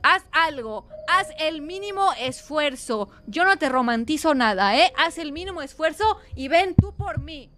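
A woman's voice: high-pitched, animated, measured pace, hardly any pauses, bright, forceful tone. A woman with a Mexican accent commentating a horror game as a streamer, dramatic and teasing, sometimes breaking into song. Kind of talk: gaming livestream commentary.

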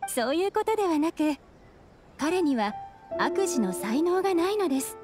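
Young female shopkeeper, anime game: high voice, polite but frank, music behind. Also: very animated, normal speed. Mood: matter-of-fact. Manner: polite, matter-of-fact.

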